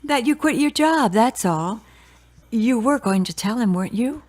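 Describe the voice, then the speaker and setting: A woman playing a caring, gently meddling birth mother in a radio drama, with a solicitous, motherly manner. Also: fairly high pitch, lively intonation, moderate pace. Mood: defensive.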